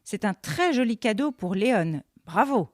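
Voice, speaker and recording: A woman playing a kind, encouraging schoolteacher in an audio drama, gently addressing a group of young children. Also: mid-range voice, expressive, moderate pace, dry recording, clean studio sound, close to an everyday voice. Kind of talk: French audio fiction drama.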